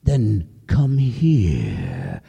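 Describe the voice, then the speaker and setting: Man voicing a hungry lion king, deep growl, drawn-out words, gravelly. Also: wildly swooping pitch, dark tone, lo-fi sound, full character voice. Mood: menacing.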